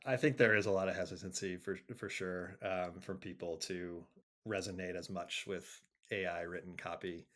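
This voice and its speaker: A man answering interview questions conversationally, thinking aloud in a measured way with frequent pauses. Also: low voice, fairly even intonation, fairly bright tone. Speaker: interview guest, marketing agency executive.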